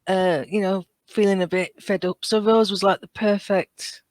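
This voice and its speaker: A woman in her thirties with a British accent talks in a relaxed, conversational podcast style. She is chatty and tells things with animation. The sound is dry, with very little room in it. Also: medium pitch, normal speed, no room sound. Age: 30s. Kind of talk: casual conversational chat.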